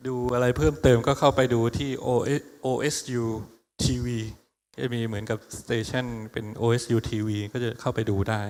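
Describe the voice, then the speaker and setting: A man hosts a university lecture Q&A, chatting casually with fillers and restarts. He talks very fast at an ordinary middle pitch. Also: steady tone, darkish tone. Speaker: lecture moderator and interpreter. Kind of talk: casual Thai and English Q&A talk. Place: lecture hall.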